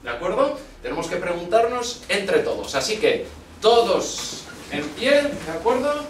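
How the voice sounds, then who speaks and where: Spanish guy in his 30s teaching a class, slightly high voice, recorded from far off in a roomy classroom. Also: slight background noise. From Spain. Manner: slow and deliberate, lively questioning pitch. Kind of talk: slow, clear classroom instruction. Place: small classroom, indoors. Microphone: far camera.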